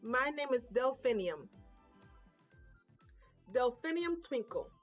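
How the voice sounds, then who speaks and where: A woman voicing a kind, composed music teacher in a children's storybook, gentle and measured. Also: high-pitched, sing-song, exaggerated voice.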